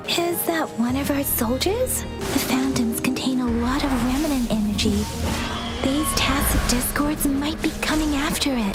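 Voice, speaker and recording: Gentle, high-voiced young woman scout. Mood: alert, concerned. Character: gentle, earnest, watchful young female scout, worried but composed. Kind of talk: video game voice acting.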